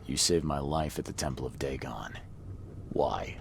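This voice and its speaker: A man's voice: extremely low pitch, a little slow, creaky, slight background noise, exaggerated voice. An actor playing a world-weary, suspicious male investigator in a video game, terse, dry and guarded. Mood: dry, probing. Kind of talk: understated video game cutscene acting.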